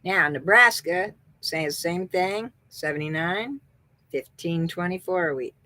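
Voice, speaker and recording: A woman's voice: fairly low pitch, a little slow, bright, forceful tone, no echo. A middle-aged woman from the American Southwest with a Texan accent, chatting upbeat as a beauty consultant and thinking aloud while she looks things up. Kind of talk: casual sales vlog.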